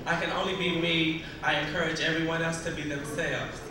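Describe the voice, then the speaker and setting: A man answering a pageant question on stage in a measured, earnest, formal way. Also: on the high side, level tone. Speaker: pageant contestant. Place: large stage in a hall. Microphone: handheld mic through hall speakers. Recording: echoey hall taped from far off, noisy.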